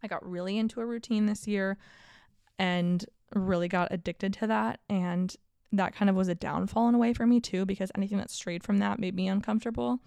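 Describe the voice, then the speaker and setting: A woman's voice: medium pitch, fairly even intonation, a little fast, vocal fry, dark tone, clear, resonant tone, no room sound, studio quality. A woman in her late twenties hosting a casual solo podcast, talking candidly and upbeat about her year. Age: 20s. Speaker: podcast host.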